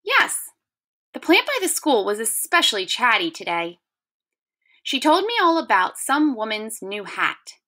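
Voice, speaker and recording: A woman voicing a chatty, curious young girl in a children's storybook, with lively emphasis. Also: on the high side, measured pace, full character voice.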